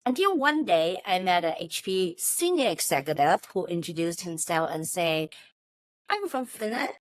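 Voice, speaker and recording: A woman's voice: lowish voice, sing-song, normal speed. A woman from Hong Kong with a Chinese accent, answering interview questions and telling personal stories as a native Chinese speaker. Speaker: interview guest, technology company chief of staff.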